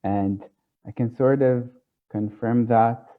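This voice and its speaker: A man whose first language is Arabic, explaining ideas in an informal lecture, pausing often with fillers and checking in with "okay?". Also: medium pitch, fairly even intonation. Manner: thoughtful, hesitant, many fillers, checks in with "okay?". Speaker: tarot teacher. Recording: tinny phone-like sound.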